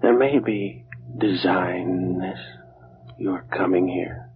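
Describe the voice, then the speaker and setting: An actor playing a weary, grieving, menacing immortal Egyptian priest's son in a hushed, brooding 1940s radio style. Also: male voice, deep voice, steady tone, slight background noise. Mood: grave, brooding. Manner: slow, hushed, brooding, deliberate delivery. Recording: muffled vintage radio recording with music.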